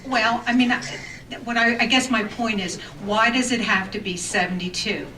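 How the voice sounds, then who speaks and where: A woman's voice: a little high, fairly even intonation, a crowd in the background. Woman questioning a council from afar. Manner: pointed questioning, halting restarts; says she has a big voice. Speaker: resident giving public comment. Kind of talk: public comment at a council meeting. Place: township council meeting room. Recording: echoey room, distant mic, background noise.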